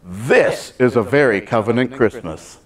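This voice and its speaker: A man serves as host of a church holiday program, delivering a scripted welcome. His mid-range voice moves with very animated intonation, in a folksy, showman-like announcer manner. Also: medium pitch, a little slow, heavy background noise.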